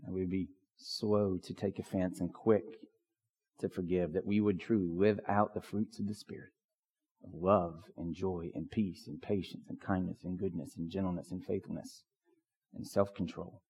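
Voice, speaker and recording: A man preaching as a pastor, with a hoarse voice and lots of fillers and restarts. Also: low-pitched, flat delivery, moderate pace, darkish tone. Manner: filler-heavy, restarts often. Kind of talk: prayer and sermon. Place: church sanctuary. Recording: long church reverb.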